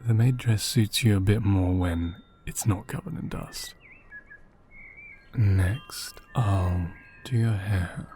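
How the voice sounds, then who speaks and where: A man acting as a tender, curious doll repairer in an ASMR audio drama. His low voice is soft and mellow, often slipping into a whisper. He murmurs very slowly and soothingly, with thoughtful hums. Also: slight background noise. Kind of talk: ASMR roleplay, soft-spoken and half-whispered.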